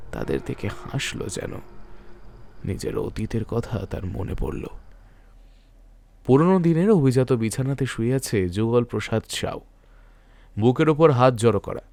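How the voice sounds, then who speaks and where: A man narrating a scripted suspense story in a measured, literary storytelling manner. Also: on the low side, creaky, a little mellow.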